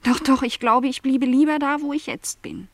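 A lonely young housemaid in a literary radio play. Her voice sits a little high and is recorded dry and close. She speaks with earnest insistence, wistful yet stubbornly hopeful. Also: moderate pace, dry recording.

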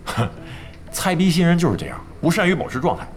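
A wry esports veteran in a radio drama. His slightly high voice swings widely in pitch, theatrical and teasing, with faint music behind. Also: big pitch swings, brisk. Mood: wry analysis. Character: wry, theatrical veteran esports team member, joking man. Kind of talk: Chinese radio drama acting.

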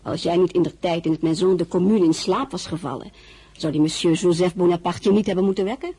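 An actress playing a blunt, loyal older female confidante in a historical radio drama, husky, plain-spoken and familiar. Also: lowish voice, steady tone, quick pace, dark tone. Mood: frank, familiar. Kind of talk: Dutch-language historical radio drama acting.

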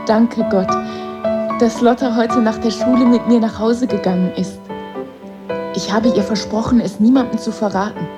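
A woman's voice: a little high, steady tone, moderate pace, over loud music, natural voice. An actress playing a lonely, bullied schoolgirl who prays and pleads, in a radio drama. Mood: wistful, pleading.

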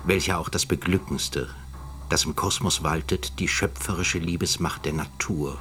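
A man's voice: sits low, normal speed, sharp tone. An actor playing a grave middle-aged philosopher, reading his book aloud in a solemn, lecturing, literary manner for a radio drama. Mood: solemn, bleak.

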